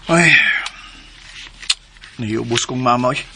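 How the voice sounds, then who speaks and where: An actor with a southern Philippine accent playing a brash, jealous grown son with an insistent, confrontational manner in a radio drama. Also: male voice, mid-range voice, slow, creaky, noisy background. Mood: sullen.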